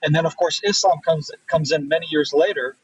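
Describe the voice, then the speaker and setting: Husky man on a distant, dull line. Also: fairly high pitch, dry recording. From Israel. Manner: thoughtful, pauses with fillers and restarts. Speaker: guest. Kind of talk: conversational interview answers. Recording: distant, dull remote audio.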